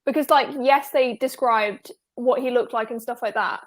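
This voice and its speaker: A woman's voice: on the high side, fairly even intonation, moderate pace. Chatty young woman hosting a book club. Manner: chatty, rambling with many fillers. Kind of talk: casual video call chat.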